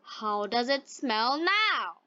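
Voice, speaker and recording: A woman voicing a wise, kindly male saint from a storybook, in a measured, instructive manner. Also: on the high side, very animated, bright, forceful tone, no room sound, highs cut off, full character voice. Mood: calm, instructive.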